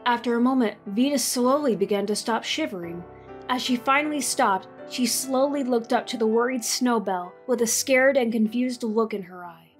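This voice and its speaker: A woman narrating an audio drama in a measured storytelling manner. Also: a little high. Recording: faint music behind.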